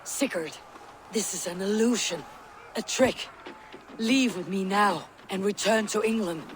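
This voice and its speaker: An actress playing a grave, stubborn Viking warrior woman in a game cutscene, speaking solemnly and with deliberate emphasis. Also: mid-range voice, slight background noise, lightly acted. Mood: urgent, earnest. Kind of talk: dramatic game cutscene acting.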